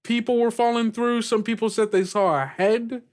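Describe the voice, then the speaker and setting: A woman playing a bewildered, easygoing female ship captain in a tabletop game, halting and questioning. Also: on the high side, steady tone, a little mellow, pitched above the performer's own voice, close to an everyday voice. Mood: puzzled.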